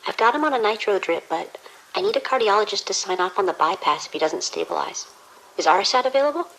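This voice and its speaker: A woman playing a harried, brisk emergency room nurse in an audio drama, reporting in a clipped, businesslike way. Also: mid-range voice, animated, fairly bright tone, low-quality recording. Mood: brisk, pressured.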